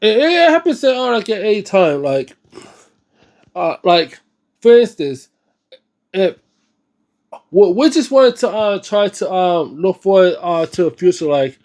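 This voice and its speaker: A man with a notably high voice talks off the cuff as host of a casual video. He is halting and often repeats himself as he searches for words. His delivery is earnest, emphatic and slangy. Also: sing-song, a little mellow. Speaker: host of a book reading and discussion series. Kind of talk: unscripted casual video commentary.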